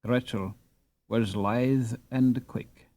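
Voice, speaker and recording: A man reading a story aloud as an audiobook narrator, in an expressive, dramatic storytelling manner. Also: mid-range voice, very slow.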